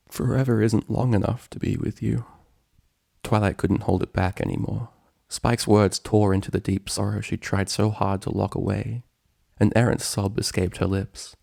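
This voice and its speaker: A man reading a story aloud as narrator, in a solemn, measured, dramatic style. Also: deep voice, creaky, a little mellow, no echo, studio quality. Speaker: narrator, fan fiction reader.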